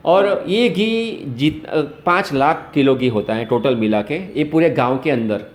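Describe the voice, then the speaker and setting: Echoey Gujarati-accented man, fairly high voice. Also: lively intonation, unhurried. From Gujarat, India. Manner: explains step by step with everyday examples. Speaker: host, activist caller. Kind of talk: explanatory phone conversation. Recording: roomy, echoey call recording.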